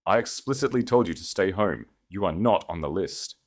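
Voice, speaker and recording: A man's voice: deep voice, lively intonation, normal speed. A man voicing an arrogant, vicious, status-obsessed husband in an audio drama, contemptuous and commanding. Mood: hissing, vicious.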